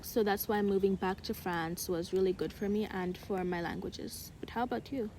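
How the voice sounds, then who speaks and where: A woman's voice: medium pitch, steady tone, normal speed, darkish tone, a little hiss or hum. A young woman, in her teens, with an American accent, reflective and casual, co-hosting a podcast chat with many filler likes. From Uganda. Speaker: podcast co-host.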